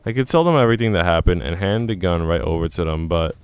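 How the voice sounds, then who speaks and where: A man's voice: low-pitched, lively intonation, fast talker, clean tone. A man reading a visual novel aloud as a let's player, acting out narration and dialogue and dropping in his own asides. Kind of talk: expressive read-aloud of story narration and dialogue.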